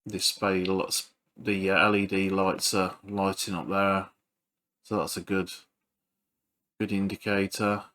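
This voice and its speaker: A man giving casual hands-on repair commentary as a video host, halting and thinking aloud while he works. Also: deep voice, level tone, a bit bright.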